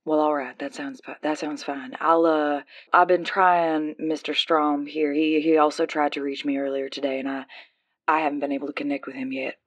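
Casting: actress as earnest, unsure female investigator, very low voice, dry close sound, halting delivery, RPG drama. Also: level tone, no room sound. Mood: hesitant, earnest. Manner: halting, tentative. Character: hesitant, self-doubting woman investigator, earnest and unassuming.

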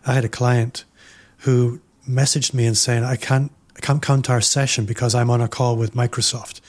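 A man with an ordinary mid-pitched voice hosts a podcast interview. He speaks in a measured, thoughtful way, stacking up his points one after another. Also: flat delivery, a little mellow. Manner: reflective, measured, builds points with repetition. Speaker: podcast host.

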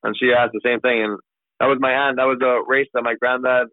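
Man, 30s, racehorse trainer, rapid casual phone interview, tinny line. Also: mid-range voice, flat delivery, no echo. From Barbados. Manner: fast, casual, with many restarts and fillers. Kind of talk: radio interview answers.

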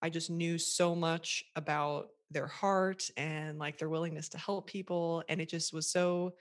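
A woman with a low-pitched voice talks as a guest on a podcast. She speaks earnestly and reflectively, like someone sharing her own story in a relaxed interview. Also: a little slow. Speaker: podcast guest, marketing coach for therapists. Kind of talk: conversational interview.

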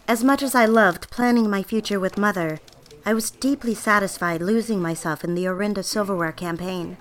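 Yearning young woman confiding, mid-pitched voice, acted. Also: a little fast, natural voice. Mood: wistful, confessional. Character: yearning, self-deceiving young woman copywriter narrating her diary. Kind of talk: scripted first-person audio drama narration.

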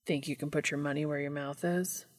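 A woman voicing a tender, teasing male lover in an audiobook, with a breathy, husky, gravelly murmur. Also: low-pitched, fairly even intonation, a little fast, voice pushed down. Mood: playful, teasing. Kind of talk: fan fiction audiobook dialogue.

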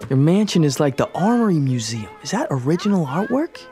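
A man's voice: on the high side, very animated, a little fast, dark tone, soft background music. An actor playing a wary, slangy male underworld associate in a period radio drama, sly in his dealings.

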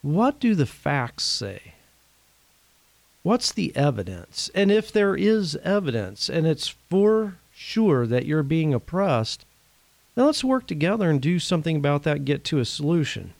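A man from Indiana hosting a casual faith-based talk, his voice a bit rough from a recent cold, speaking earnestly and conversationally. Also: a little high, very animated, unhurried, no room sound. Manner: earnest, conversational, laughs now and then. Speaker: podcast host.

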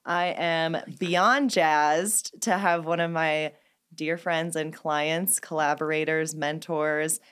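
A young adult woman hosting a casual podcast, bubbly and effusive, quick to laugh. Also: sits low, fairly even intonation, fairly bright tone, clear, resonant tone. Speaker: podcast host.

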